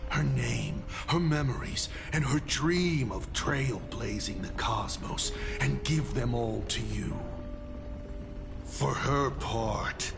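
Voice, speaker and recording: A man's voice: mid-range voice, creaky. A man playing a sardonic, grandiose showman villain in a video game, speaking with pompous, mocking drama over music.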